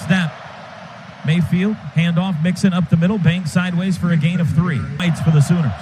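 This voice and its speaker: A man doing live radio play-by-play of a football game, quick clipped calls that build to shouts on big plays. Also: sits high, steady tone. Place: stadium radio booth. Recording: radio broadcast with loud crowd noise.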